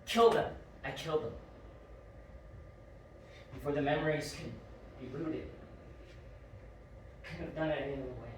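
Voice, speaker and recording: Woman acting tired guilty killer lady, halting and making excuses, audio drama, really echoey, far from mic. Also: low-pitched, darkish tone, lightly acted. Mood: resigned. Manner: halting, self-justifying. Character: guilt-ridden, weary killer obeying ritual orders. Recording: very echoey, distant mic, faint noise.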